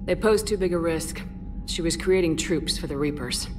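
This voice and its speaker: A woman's voice: lowish voice, normal speed, lightly acted. An actress playing a stern female military commander who speaks tersely and bluntly. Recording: game audio with music bed.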